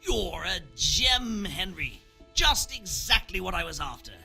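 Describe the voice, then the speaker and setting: Sly, bright-voiced scheming townsman. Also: sits high, big pitch swings, measured pace, creaky, faint music behind, exaggerated voice. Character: sly, greedy townsman who commissions thefts. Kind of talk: dramatic video game dialogue.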